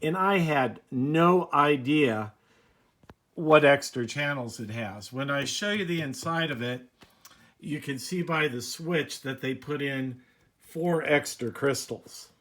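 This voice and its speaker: A man hosting a hobby video, explaining casually and unscripted, with halting pauses mid-sentence. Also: fairly high pitch, fairly bright tone.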